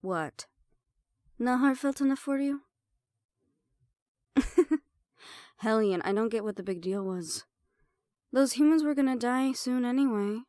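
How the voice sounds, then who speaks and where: A woman's voice: a little high, darkish tone, dry recording, clean studio sound. A woman playing a sly, defiant half-banshee siren in an audio roleplay, sarcastic and playful as she mocks the listener.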